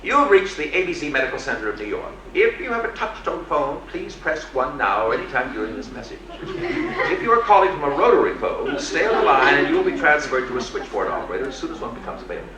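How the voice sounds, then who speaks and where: A man voicing a brisk, relentlessly chirpy automated hospital phone menu in sing-song announcements, as part of a comic stage story. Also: fairly high pitch, big pitch swings, recorded from a distance, a crowd in the background. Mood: brightly officious. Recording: echoey, noisy stage recording from afar.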